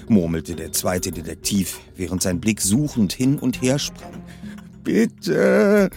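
A man reading a suspense story aloud in a tense, dramatic narration style. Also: low-pitched. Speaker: reader. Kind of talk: audiobook narration.

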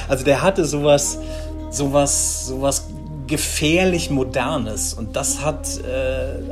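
A man talking casually and enthusiastically in an interview as a writer, often searching for his words. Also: mid-range voice. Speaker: audio drama writer being interviewed. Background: music bed.

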